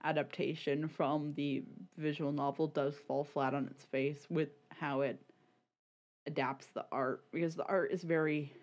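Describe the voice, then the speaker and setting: A podcast co-host chatting casually about media in a rambling, halting, sweary way, often repeating words. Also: mid-range voice, flat delivery. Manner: informal, sweary.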